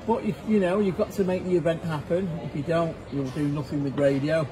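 Higher-voiced man, noisy room. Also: steady tone, brisk. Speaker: amateur radio operator at an event station. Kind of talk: casual amateur radio contact with formal call signs. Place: indoors by a window at an event. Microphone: bystander's camera near the operator, who holds a hand microphone. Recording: clear background noise, busy event room.

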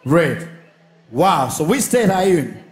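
A Kenyan man hosting a live comedy show on a studio stage, speaking with a Kenyan English accent into a handheld mic and shouting announcements to the crowd. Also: on the high side, very animated, a little slow. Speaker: talk show host. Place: large indoor studio stage with audience. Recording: echoey studio hall, faint music.

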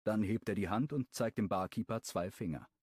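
A man narrating a romance audiobook in the first person, with an expressive storytelling delivery. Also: fairly low pitch, a little fast, breathy edge, no echo.

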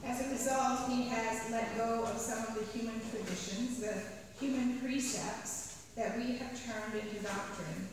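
A woman's voice: fairly high pitch, fairly even intonation, noisy background. Woman preaching in an echoey church. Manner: measured, slows to stress key phrases. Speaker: priest. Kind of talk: read sermon. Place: large church sanctuary. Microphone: pulpit mic at a distance. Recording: echoey church hall from far off.

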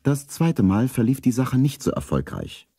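A man narrating a children's radio play as a calm storyteller. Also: mid-range voice, moderate pace, husky. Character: calm adult male storyteller.

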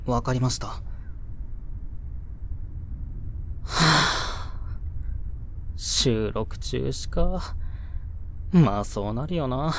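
A man's voice: mid-range voice, expressive, takes it slowly, fairly bright tone, slight background noise. A man playing a nervous, deferential young junior sound engineer in a voice drama, hesitant and polite. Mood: subdued. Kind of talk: Japanese voice drama acting.

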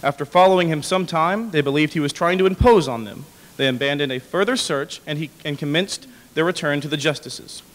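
A young man reads historical papers aloud in a formal register. His voice sits a little high for a man, and the big room leaves a long reverb around every phrase from the podium microphone. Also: brisk. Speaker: dramatic reader. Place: large indoor hall with brick walls.

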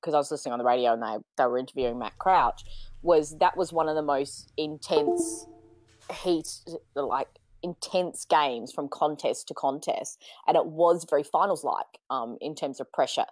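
An Australian woman with a croaky voice, giving thoughtful views in a casual sports chat. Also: deep voice, moderate pace, darkish tone, no room sound, low-quality recording.

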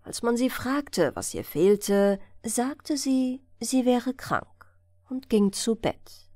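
A woman reads a fairy tale as an audiobook narrator. Her delivery is unhurried and very melodic, with an expressive storyteller's phrasing. The recording is dry and close. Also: fairly high pitch, dry recording.

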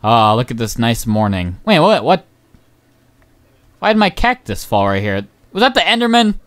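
Young male game commentator, smooth voice, hoarse, rambling and excitable, many ums. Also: medium pitch, normal speed. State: voice going hoarse. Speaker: gaming channel host. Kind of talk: casual gameplay commentary.

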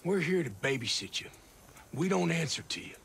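An actor playing a cocky, menacing middle-aged American soldier with a gravelly, drawling, taunting delivery in a video game. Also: male voice, fairly high pitch, sing-song, moderate pace, a bit bright, a little hiss or hum, heavily acted. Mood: contemptuous, taunting. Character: cocky, menacing ex-special-forces soldier, hard and contemptuous. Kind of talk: video game cinematic acting.

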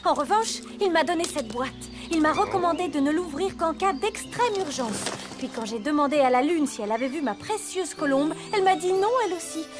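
High-voiced gentle fairy-tale heroine. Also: female voice, expressive, a little fast, music in the background. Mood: earnest. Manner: earnest, tender. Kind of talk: French cartoon dub acting.

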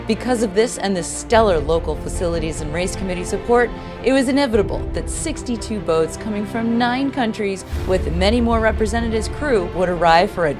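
Lady reading sailing news script, steady, music underneath. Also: medium pitch. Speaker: narrator. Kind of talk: scripted narration. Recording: music bed behind.

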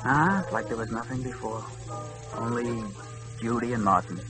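A man's voice: medium pitch, normal speed, lightly acted, low-quality recording. An actor playing a haunted, lovestruck, obsessive man in melodramatic 1940s radio drama style. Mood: tender, ardent. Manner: intense, melodramatic, repeating himself. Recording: 1940s radio recording with music.

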